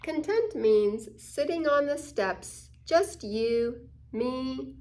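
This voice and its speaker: A woman reading a picture book aloud to children as a story time host, expressively and deliberately, explaining things simply. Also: high voice, lively intonation, a little mellow. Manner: slow, deliberate, acting out quoted lines. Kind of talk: expressive children's read-aloud with asides to listeners.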